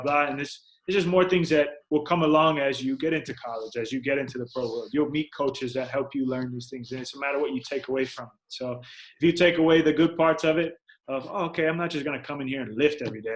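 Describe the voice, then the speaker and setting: A young man with an American accent talks as a guest in a casual interview. His delivery is conversational and loosely rambling, and he slips quoted remarks into his stories. His pitch sits in an ordinary middle range for a man. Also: steady tone, fast, poor audio. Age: young adult. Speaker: professional soccer player, podcast guest.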